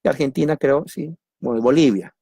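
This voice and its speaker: A Peruvian man preaches a teaching sermon in a middle-pitched voice, recorded dry and close with no room sound. He keeps repeating key words and answers his own questions. His delivery is lively, with jokes tossed to the listeners. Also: normal speed, gravelly, breathy edge, no echo. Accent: Peruvian Spanish. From Peru.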